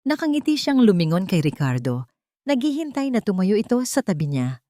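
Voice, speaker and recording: A woman with a Filipino accent narrating a scripted dramatic story in measured, dramatic phrases. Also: mid-range voice, sing-song, mellow, dry recording, studio quality.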